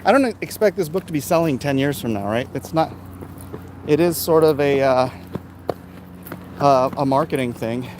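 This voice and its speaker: A man in his forties chatting casually and haltingly as a livestream host while walking outside, talking into a handheld phone. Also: on the high side, animated, a little mellow. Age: 40s. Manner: rambling, halting, breaking off to talk to people with him. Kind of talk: casual livestream chat while walking outdoors, reading viewer comments aloud. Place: outdoors, walking along a street. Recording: outdoor street noise on phone.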